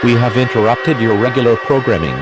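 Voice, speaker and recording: A man's voice: medium pitch, lively intonation, brisk, loud music behind the voice, lightly acted. A man playing a grave emergency alert announcer, reading scripted official warnings in a formal, stiff way.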